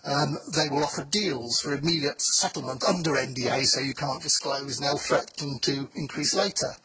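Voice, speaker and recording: A man's voice: a little high, moderate pace, pushed and bright, a little hiss or hum, rough recording. A man giving a blunt, informal conference talk with wry asides. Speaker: conference speaker.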